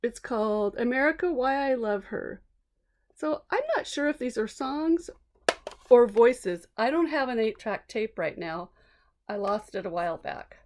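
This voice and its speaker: A woman's voice: a little high, expressive, unhurried, a little mellow. A woman teacher casually explaining things to young children, chatty and asking simple questions. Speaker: read-aloud teacher.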